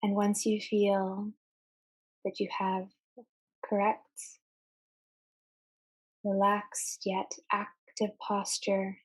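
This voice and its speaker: A woman leading a guided meditation, giving measured instructions in short phrases with deliberate pauses. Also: medium pitch, a little mellow, low-quality recording.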